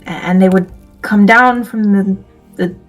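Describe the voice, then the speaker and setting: A woman acts out an awkward male fantasy adventurer in a tabletop audio drama. He is earnest and timid, halting and stammering as he goes, at a middling pitch. Faint music plays behind him. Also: steady tone, lightly acted. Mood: uneasy, confiding.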